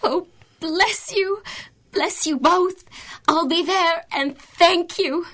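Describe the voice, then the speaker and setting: A humble thirties housewife in a radio play. Her high voice moves slowly, with meek, polite hesitation and an eager-to-please air. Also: bright, forceful tone, slight background noise, exaggerated voice. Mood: meek, grateful. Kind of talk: 1930s radio drama acting.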